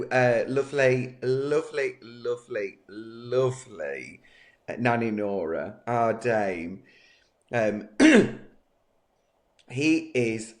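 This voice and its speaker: A man with a hoarse voice from a cough, chatting casually and playfully as co-host. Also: mid-range voice. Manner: camp, playful, jokey. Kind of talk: casual chat.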